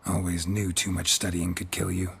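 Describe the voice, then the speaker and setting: A man playing a gruff, stoic monster hunter in a video game, with a gravelly voice and a laconic, deadpan delivery. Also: normal speed, full character voice. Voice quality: low gravelly voice. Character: gruff, stoic male monster hunter, dry-witted and terse.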